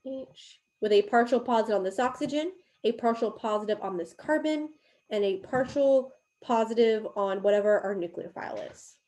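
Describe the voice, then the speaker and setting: Woman walking through chemistry on a whiteboard, kinda tired voice, slightly high. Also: a little mellow. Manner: explanatory, talks while drawing, fillers. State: losing her voice a little. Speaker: chemistry teacher. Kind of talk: recorded whiteboard lecture.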